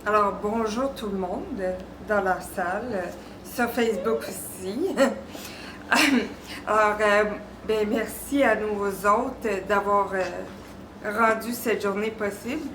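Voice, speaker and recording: Slow, halting Québécois woman in her thirties. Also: medium pitch. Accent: Québécois French. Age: 30s. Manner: halting, with long pauses from aphasia. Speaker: panelist. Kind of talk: personal testimony to an audience. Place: echoey room before live audience. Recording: roomy echo, faint background noise.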